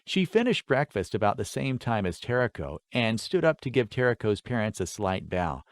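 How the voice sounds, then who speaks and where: A man narrating a scripted fantasy story in an expressive, enunciated storytelling manner. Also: lowish voice, normal speed, dry recording. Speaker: audiobook narrator.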